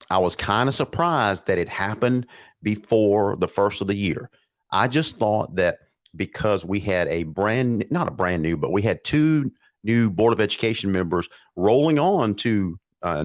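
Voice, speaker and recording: A middle-aged man hosts a live sports talk show, talking in an upbeat, chatty way with plenty of jokes. His voice is low and still a touch hoarse from being sick, and his pitch swings up and down freely. The sound is dry and close, with no room echo. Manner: upbeat, chatty, jokey, repeats words. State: recovering hoarse voice after bronchitis.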